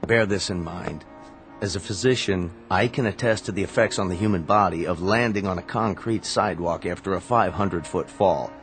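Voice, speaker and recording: An actor playing a blunt, exasperated physician in a radio drama, speaking emphatically and articulately with a sardonic edge. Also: male voice, low voice, normal speed, soft background music, lightly acted. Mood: dry, sardonic. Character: blunt, exasperated younger brother, a physician researcher.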